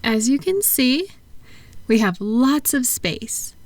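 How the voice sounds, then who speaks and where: A woman playing a kind, unhurried attendant at a calming clinic, soft-spoken and reassuring in a relaxation roleplay. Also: high-pitched, slight background noise, heavily acted. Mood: gently hospitable.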